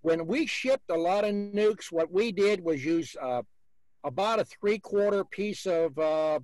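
A man's voice: sits high, measured pace, a little mellow, dry recording, rough recording. A man, hosting a beekeeping video call and giving blunt, folksy advice. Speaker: beekeeper host.

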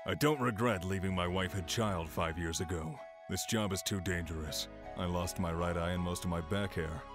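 Man voicing a deep, gruff principled panda doctor. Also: moderate pace, music in the background, lightly acted. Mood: solemn. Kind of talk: dramatic manga dub.

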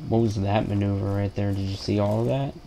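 A man's voice: fairly low pitch, steady tone, fast talker, dark tone, a little hiss or hum. A young man playing an online shooter, chatting casually in a joking, teasing way. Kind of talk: casual gaming banter.

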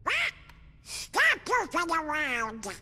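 A man's voice: extremely high pitch, wildly swooping pitch, drawn-out words, pushed and bright, exaggerated voice. A man voicing a hot-tempered cartoon duck in a video game, with a squawky, garbled, quacking voice. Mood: impatient. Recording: echoing hall reverb.

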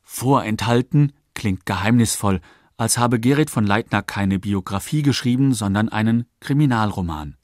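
A man reading scripted radio documentary narration in a measured, articulate way. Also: fairly low pitch, no echo, studio quality.

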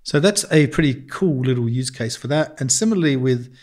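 A man with a mid-pitched voice explains music software in an informal tutorial style. He goes step by step through the settings, with music clearly playing around his voice. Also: animated, brisk. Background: music and processed audio demos.

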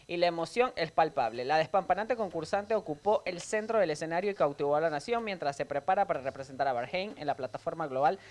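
A man's voice: a little high, animated, quick pace, few pauses. A man who works as a makeup artist, co-hosting a casual chat and reading out facts plainly in a small studio with a handheld mic. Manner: matter-of-fact, informative.

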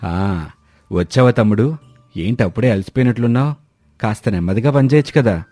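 Man as a lazy, mellow village elder brother. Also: on the low side, a little fast, no echo, soft background music, lightly acted. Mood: nonchalant. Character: lazy, carefree elder brother around thirty. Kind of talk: animated moral story dialogue.

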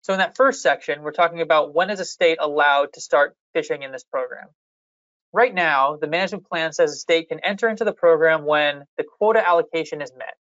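A fishery management staff member giving a measured, methodical walkthrough of policy options in a public hearing slide presentation. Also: medium pitch, a bit bright, no echo, dull audio.

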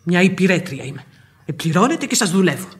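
An actress playing a humble, devoted, sharp-tongued housemaid in a vintage Greek radio play. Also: female voice, lowish voice, big pitch swings, husky, full character voice. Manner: plain-spoken, self-effacing. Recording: old radio recording with background noise.

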